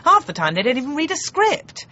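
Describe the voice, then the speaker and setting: A worldly, domineering female TV producer in a radio comedy drama. Her delivery is brisk and very animated, with sardonic emphasis and a habit of cutting in. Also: high voice, dull audio, slight background noise, exaggerated voice. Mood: persuasive.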